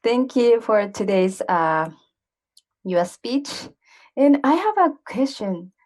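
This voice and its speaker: A woman's voice: on the high side, very animated, slow pace, a little mellow. A woman calling in to a video meetup, polite and hesitant, choosing her words carefully. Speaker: participant calling in. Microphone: video call.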